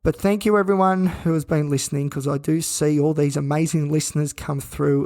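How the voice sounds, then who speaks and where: A man hosting a solo podcast from a hospital room, his voice croaky and worn out, talking flatly and wearily with rambling restarts. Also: a little high. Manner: flat, weary, rambling with restarts. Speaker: podcast host. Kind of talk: candid solo podcast monologue. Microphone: own recording gear.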